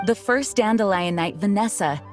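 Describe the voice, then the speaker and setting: An actress playing a composed, dutiful woman knight commander in a video game, speaking formally and earnestly in measured phrases. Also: medium pitch, soft background music, lightly acted. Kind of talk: scripted game dialogue.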